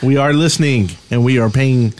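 A man of medium pitch chats casually as a podcast co-host in a studio. There is faint noise in the recording. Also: moderate pace, a little mellow. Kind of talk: casual chat.

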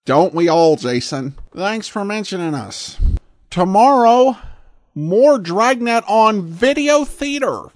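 A man's voice: sits high, lively intonation, takes it slowly, no echo, studio quality. A man hosting a podcast with conversational commentary. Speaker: podcast host.

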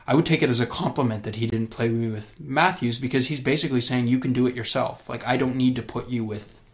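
Male co-host, middle pitch, chatty musing sports talk. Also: fairly even intonation, fast. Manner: conversational, musing with "like". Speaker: podcast co-host, hockey writer. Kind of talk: casual sports chat.

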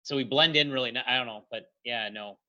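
A Canadian man with a bright, forceful voice, co-hosting a casual podcast chat. He tells stories playfully and acts out little asides. The recording sounds a bit dull. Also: mid-range voice, quick pace. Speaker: podcast co-host.

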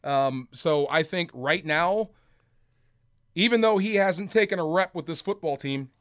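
American man, guest sports analyst, slightly high pitch, explanatory, conversational, dry studio microphone. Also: expressive, unhurried, a bit bright, clear, resonant tone, dry recording.

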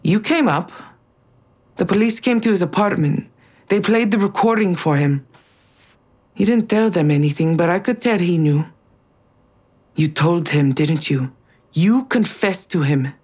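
A woman playing a wary, accusing conspirator in an audio drama, with a husky voice and a terse, probing manner. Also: on the low side, unhurried, long pauses, a little mellow. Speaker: actor in a fiction podcast. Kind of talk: naturalistic audio drama acting.

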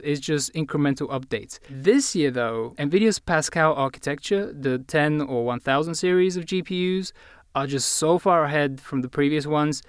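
British-accented man, smooth and crisp. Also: a little high, no room sound, clean studio sound. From Bulgaria. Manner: detailed, explanatory, opinionated. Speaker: guest tech editor. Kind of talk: podcast discussion.

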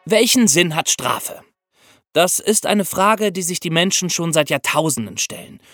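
A man's voice: on the high side, animated, measured pace, bright, forceful tone, dry recording, faint music behind. A man co-hosting an explanatory podcast, teaching and addressing the listeners directly. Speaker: podcast co-host.

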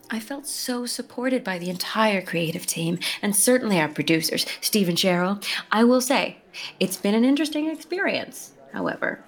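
A woman voices an earnest stage director who chats warmly and picks her words carefully. Her voice is an everyday mid-range woman's voice. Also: medium pitch, natural voice. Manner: chatty and diplomatic. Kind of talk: scripted audio comedy acting.

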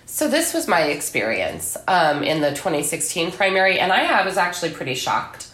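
A woman's voice: fairly low pitch, big pitch swings, moderate pace, a bit bright, reverberant. An American woman from southern Illinois, an author speaking as a podcast guest. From southern Illinois, United States. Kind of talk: literary reading and interview talk.

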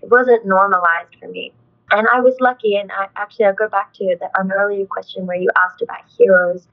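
A woman's voice: medium pitch, steady tone, fairly quick, phone-quality sound. A young adult woman, an actress, talking in an interview in an earnest, thoughtful way, full of "like" and "you know". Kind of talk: reflective conversational interview.

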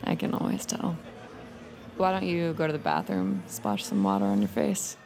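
An actress playing a frank, self-assured bar server with a breezy, teasing manner in casual audio drama dialogue. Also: female voice, low voice, very animated, normal speed, creaky, crowd noise, full character voice. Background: prominent music.

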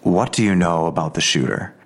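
An actor playing a gruff, terse veteran police detective in an audio drama, with clipped questions in a low, husky voice. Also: male voice, a little fast. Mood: terse, probing.

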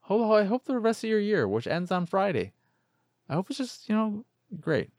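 A man's voice: high voice, very animated, moderate pace, a little mellow, no echo, studio quality. A man hosting a gaming podcast alone, talking in an informal, playful, self-deprecating ramble and sounding tired. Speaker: solo video game podcast host. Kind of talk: casual podcast sign-off, rambling.

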